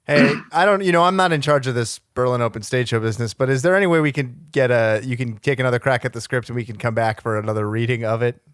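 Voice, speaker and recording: A man playing a money-minded, self-promoting talent agent in improvised comedy, with halting sales patter. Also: mid-range voice, clean tone, dry recording, studio quality, natural voice. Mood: slick, mercenary. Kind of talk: improvised comedy character talk.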